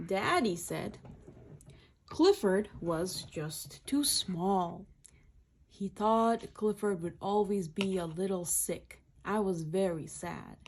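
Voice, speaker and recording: A woman's voice: mid-range voice, darkish tone, slight background noise. A woman reading a children's picture book aloud in short, simple phrases, adding explanatory asides.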